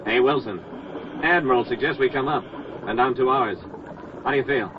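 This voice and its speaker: Male, 1940s radio drama: actor as commanding Navy diver, terse telegraphic delivery, mid pitch, noisy old recording. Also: normal speed, muffled recording, close to an everyday voice. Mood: urgent, steady. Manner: clipped, terse telegraphic phrases.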